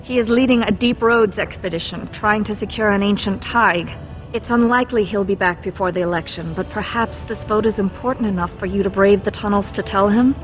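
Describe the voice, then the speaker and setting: A woman's voice: medium pitch, brisk, lightly acted. An actress playing a proud, cool dwarven noblewoman in a fantasy video game, formal and composed. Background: faint game music.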